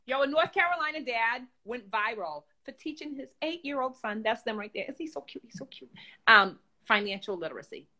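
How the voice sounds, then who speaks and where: A man voicing a brash young woman puppet host with a New York accent, loud and slangy while reading the news. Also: extremely high pitch, expressive, fairly quick, exaggerated voice. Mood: sassy. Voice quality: loud, profane, slangy, bubbly. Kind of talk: comedic puppet news chat.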